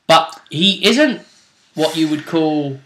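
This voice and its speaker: A man's voice: fairly high pitch, animated, takes it slowly, a bit bright. A man casually recapping a tabletop role-play tournament as game master, talking emphatically and dramatically, repeating phrases for effect. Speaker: game master and vidcast host. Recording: roomy, echoey room sound.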